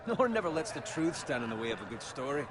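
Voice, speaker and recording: An actor playing a cocky, teasing young soldier in a medieval fantasy game, speaking bluntly and mockingly. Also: male voice, a little high, sing-song, fast talker, noisy background. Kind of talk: video game fantasy acting.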